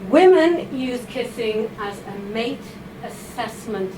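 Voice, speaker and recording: A woman with a fairly high voice talks to a live audience in a chatty, lively way. She fills her talk with asides, fillers and little "okay?" checks. Noise is clearly audible behind her. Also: takes it slowly. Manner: chatty, animated, with frequent fillers and tag questions. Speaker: writer giving a reading.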